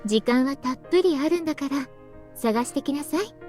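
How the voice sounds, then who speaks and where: A woman playing a composed, gently teasing mother in a Japanese visual novel. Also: high-pitched, lively intonation, racing, faint music behind. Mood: mock scolding.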